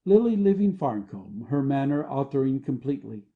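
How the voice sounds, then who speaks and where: A man's voice: medium pitch, very animated, moderate pace, narrow, tinny audio. A man reading stage directions as scripted narration for an audio play.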